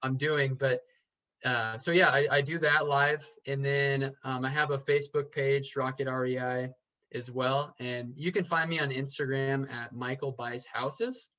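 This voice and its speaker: A man talks as a podcast guest, earnest and halting, often stopping to restart a sentence. His voice has a middle pitch. The audio is slightly dull and lo-fi. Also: fairly even intonation, pushed and bright. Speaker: podcast guest, real estate investor. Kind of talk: casual interview chat.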